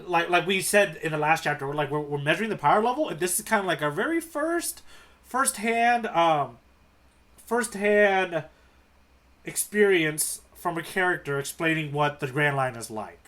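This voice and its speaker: A man's voice: fairly high pitch, expressive, normal speed, a bit bright. A man co-hosting a casual podcast as the guide, explaining things and steering the discussion in a conversational way.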